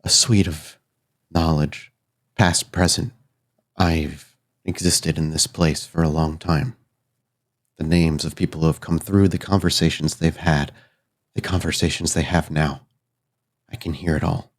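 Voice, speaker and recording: A man voicing a solemn, fatalistic dead spirit bound to an old hotel, speaking cryptically in short measured phrases in a tabletop game. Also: very deep voice, steady tone, voice pushed down, heavily acted. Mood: cryptic, solemn.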